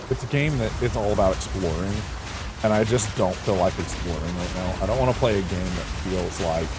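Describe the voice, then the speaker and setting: A man streaming a game and chatting casually, his voice rough, crackly and hoarse, rambling and changing his mind mid-sentence. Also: deep voice. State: hoarse, sore throat. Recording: steady background noise.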